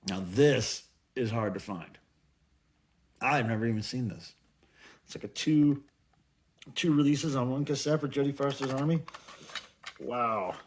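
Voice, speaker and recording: A man's voice: medium pitch, a little slow, long pauses. A middle-aged man chatting casually as a vlog host, musing aloud in a rambling way with folksy, playful slang. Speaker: video channel host. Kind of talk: casual haul vlog chat.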